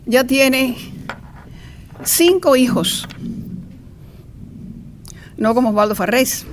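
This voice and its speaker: An older Cuban woman with a fairly high voice introduces a speaker to an audience. She talks in a chatty, digressive way with playful asides. There is light noise in the live recording. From Cuba. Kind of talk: informal introductory speech to an audience. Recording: noisy live event recording.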